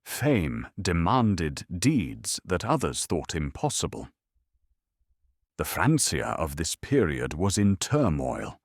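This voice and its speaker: A man narrating a calm bedtime history documentary in a measured, solemn storytelling manner. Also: rumbling low, vocal fry, slightly rough, no room sound, studio quality.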